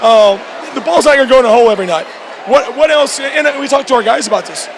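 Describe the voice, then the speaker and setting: Male coach, very high voice with fry, restarting phrases in a postgame interview over a loud crowd. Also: expressive. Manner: repetitive, halting restarts mid-phrase. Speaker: high school basketball coach. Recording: noisy gym with loud crowd behind. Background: crowd and clear background noise.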